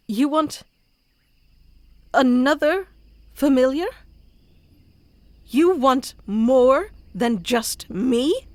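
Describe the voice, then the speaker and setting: A powerful female fox spirit, acted by a woman speaks slowly in a fairly high voice, close and dry. She is clingy and possessive, with the intimate theatrical delivery of an ASMR audio roleplay. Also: no room sound, full character voice. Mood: hurt, indignant. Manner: intimate, theatrical, swinging between hushed and pleading.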